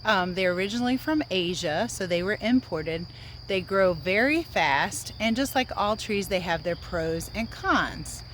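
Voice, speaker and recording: A woman's voice: medium pitch, expressive, unhurried, a little hiss or hum. A woman reading and explaining to young viewers as a children's read-aloud teacher and host.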